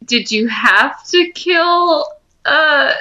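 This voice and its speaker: A woman's voice: high voice, lively intonation, extremely slow, sharp tone, dull audio. A young woman co-hosting a casual podcast, laid-back and giggly. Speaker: podcast co-host.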